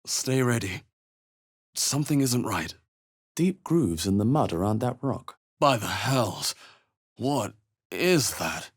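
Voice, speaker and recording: A man playing a wary, thoughtful fantasy adventurer in a video game, musing aloud in a measured, dramatic way. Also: medium pitch, animated, slightly rough. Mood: startled, dismayed. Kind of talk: video game voice acting, short exploration remarks.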